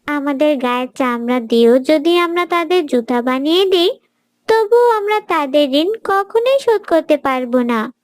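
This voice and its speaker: Cartoon casting: woman as devout little girl, high-pitched, earnest and gently preachy. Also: animated, unhurried, dry recording, studio quality. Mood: earnest advising. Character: pious, kindly little girl who gently advises. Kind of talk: children's moral cartoon voice acting.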